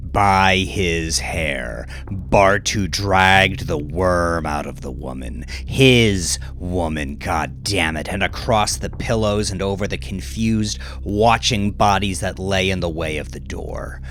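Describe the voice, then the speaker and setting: A man reading dark fiction as a dramatic narrator, in a deliberate, brooding way with weighty pauses. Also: low-pitched. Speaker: narrator of serial crime fiction. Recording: loud music behind the narration. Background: loud music bed.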